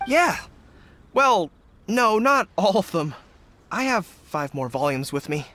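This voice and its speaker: An actor playing an earnest, dutiful young army general in a video game, speaking in a formal, respectful manner. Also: male voice, high voice, very animated, takes it slowly, noisy background, full character voice. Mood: earnest, proud. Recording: game music underneath.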